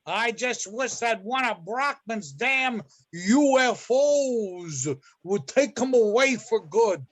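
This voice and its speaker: A man's voice: very high-pitched, lively intonation, takes it slowly, exaggerated voice. A man playing a grumpy, streetwise middle-aged lunch truck worker in an amateur readers theater reading. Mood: indignant griping. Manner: streetwise griping, slangy. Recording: videoconference call audio.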